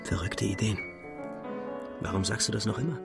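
An actor in a radio drama playing a brooding, bitter young man haunted by guilt, with a halting, diary-like delivery. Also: on the low side, vocal fry, over music. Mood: hurt, guilty. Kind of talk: literary radio drama acting.

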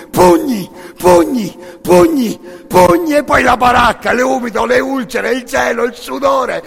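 A man with a gravelly voice performing an intense, incantatory scripted monologue in the second person, repeating phrases between long pauses. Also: very high-pitched. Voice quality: gravelly, creaky. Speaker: actor and storyteller of a solo radio theatre monologue. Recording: music bed under the voice. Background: music.

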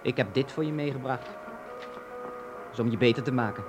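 A man's voice: mid-range voice, moderate pace, music in the background, natural voice. An actor playing a brash, teasing young companion of a sick boy in a Dutch radio drama, blunt and mocking. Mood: soft, offended.

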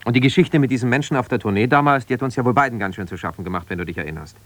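An actor playing an embittered, ambitious lawyer husband who talks in an argumentative, colloquial way in a naturalistic radio play. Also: male voice, mid-range voice, racing, fairly bright tone, no echo, close to an everyday voice. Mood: reproachful. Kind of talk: naturalistic radio play, one side of a phone call.

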